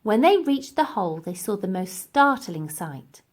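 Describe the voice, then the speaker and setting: Animated woman reading a children's story. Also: medium pitch, unhurried. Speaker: storybook reader. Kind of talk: expressive children's story narration.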